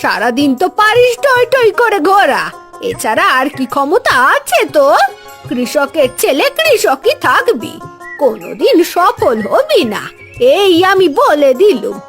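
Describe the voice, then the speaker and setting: A woman's voice: very high-pitched, wildly swooping pitch, unhurried, fairly bright tone, soft background music, full character voice. A woman playing a scolding old village woman. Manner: taunting, harsh scolding.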